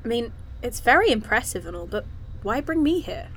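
An actress playing an anxious, sardonic woman scientist in an audio drama, with a halting, dry, self-deprecating delivery. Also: on the high side, big pitch swings, a bit bright, slight background noise, poor audio. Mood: surprised, wary.

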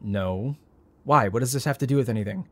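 A man playing a frazzled, sarcastic young heir in an audio drama, with a wry, flustered, halting delivery. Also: medium pitch, lightly acted. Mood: defensive, confused. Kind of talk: audio drama acting, naturalistic.

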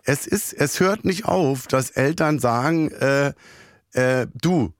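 A hoarse man, hosting a podcast in a rambling, self-mocking comic monologue full of halting fillers. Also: mid-range voice, dry recording, studio quality. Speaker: podcast host.

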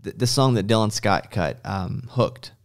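A man's voice: on the low side, measured pace. A man hosting a podcast, chatting casually and acting out little imagined quotes as he talks. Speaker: podcast host. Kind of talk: casual interview chat.

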